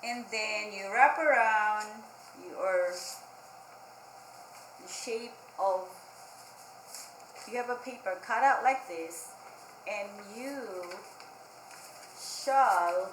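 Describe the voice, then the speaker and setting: Female children's storytime host, unhurried simple instructions with repetition; echoey room with faint noise. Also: a little high, fairly bright tone. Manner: simple explanations with repeated phrases, addressing children directly. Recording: roomy echo, faint background noise.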